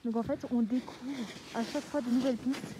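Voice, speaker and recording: A woman's voice: a little high, fairly even intonation, a little fast, noisy background. A young adult woman chatting casually as a vlogger, recorded outdoors on a phone. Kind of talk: casual vlog chat. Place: outdoors at a ski resort. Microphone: phone at arm's length. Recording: loud music behind, outdoor phone audio.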